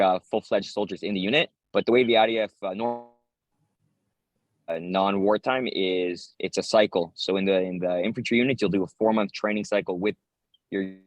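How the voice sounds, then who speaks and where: A young American soldier chatting fast and low in a close, dry interview, filling someone in on daily life. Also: male voice, no echo. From United States. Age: 20s. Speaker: interviewee, infantry paratrooper soldier. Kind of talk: interview, casual talk.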